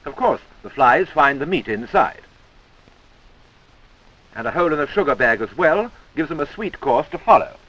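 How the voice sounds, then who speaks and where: A man's voice: fairly high pitch, expressive, moderate pace, long pauses, a bit bright, rough recording. A British man narrating a wartime-era army training film in a wry, chummy manner, on a 1940s film soundtrack.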